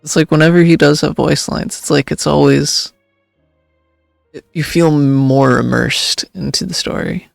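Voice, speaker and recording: A man's voice: a little high, fairly even intonation, a little slow, creaky, no room sound, studio quality. A man streaming a video game, giving casual reaction commentary. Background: game music.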